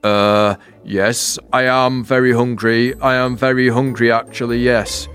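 Man playing a demanding, loud night sky creature, lecturing, faint music under. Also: mid-range voice, flat delivery, measured pace, smooth, lightly acted. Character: bossy, demanding fallen piece of night sky.

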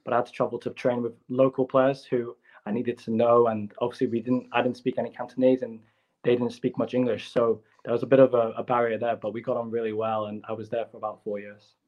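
A young footballer from Hong Kong answering interview questions politely with plain answers and fillers. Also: male voice, medium pitch, level tone, fast talker, darkish tone, lo-fi sound. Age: young adult. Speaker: youth footballer, guest. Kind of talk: casual interview.

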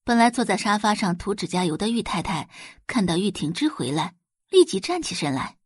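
A woman reading scripted story narration as an expressive audiobook narrator. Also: mid-range voice, a little fast, breathy edge, no room sound, clean studio sound.

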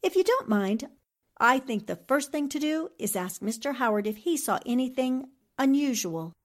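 A poised, clever lady sleuth played by a woman in an old-time radio mystery. Her fairly high voice moves expressively while staying courteous. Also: animated, normal speed. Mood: courteous, eager. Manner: polite, composed. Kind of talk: 1940s radio drama acting.

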